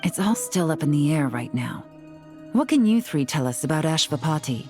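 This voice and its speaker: An actress playing a cool, confident covert investigator in a video game, speaking concisely and with self-assurance. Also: female voice, sits low, big pitch swings, moderate pace, darkish tone, faint music behind.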